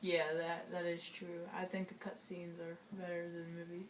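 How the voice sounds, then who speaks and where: A man's voice: sits high, completely flat delivery, moderate pace, roomy sound. A male guest chatting casually, giving brief, informal answers. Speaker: guest, host's brother. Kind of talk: casual chat. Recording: distant mic, clear background noise.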